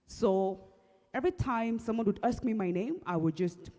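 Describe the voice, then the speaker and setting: A young Kenyan woman with a husky voice, earnestly telling her personal story at an awareness event, with halting restarts. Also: a little high, dark tone. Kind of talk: personal testimony talk. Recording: long hall reverb.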